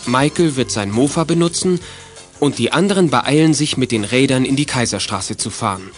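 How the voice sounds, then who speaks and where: Ein Mann erzählt als Sprecher ein Kinderhörspiel vom Manuskript, mit belegter Stimme. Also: male voice, mid-range voice, animated, normal speed, faint music behind, close to an everyday voice. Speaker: narrator. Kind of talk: scripted children's radio play narration.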